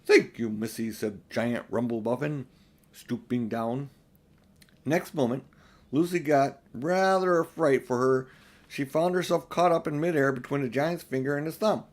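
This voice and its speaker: A man's voice: mid-range voice, unhurried. Older man reading a storybook aloud. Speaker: audiobook narrator. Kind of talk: storybook narration.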